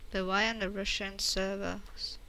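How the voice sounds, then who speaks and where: A woman's voice: mid-range voice, flat delivery, unhurried, pushed and bright, slight background noise. A woman streaming a crafting game, chatting casually, muttering to herself and answering chat questions. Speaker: gameplay streamer. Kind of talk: casual let's-play commentary.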